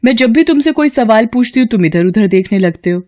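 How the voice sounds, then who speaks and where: Woman playing a brisk, scolding lady professor. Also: expressive, darkish tone, lo-fi sound, close to an everyday voice. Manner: raised pitch, brisk scolding teacher tone. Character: young woman economics professor, firm but kind.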